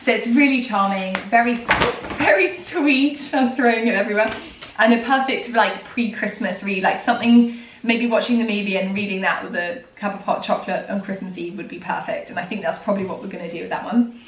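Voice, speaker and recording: A woman in her thirties, hoarse from a recent illness, chatting casually and enthusiastically about children's books with many ums and soft laughs. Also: medium pitch, fairly even intonation, fairly quick. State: hoarse, recovering from a lost voice. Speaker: book reviewer and vlogger. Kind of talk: casual book review chat. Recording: roomy and distant from the mic, faint noise.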